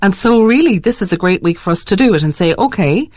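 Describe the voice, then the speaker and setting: A woman's voice: mid-range voice, expressive, fast talker, a little mellow, dry recording. A woman hosting a chatty radio interview. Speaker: radio host.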